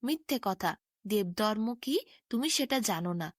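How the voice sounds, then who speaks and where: A woman's voice: medium pitch, expressive, measured pace, no echo, clean studio sound. A woman voicing a wicked, menacing male water demon in a taunting, commanding way for a bedtime fairy tale. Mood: menacing, challenging.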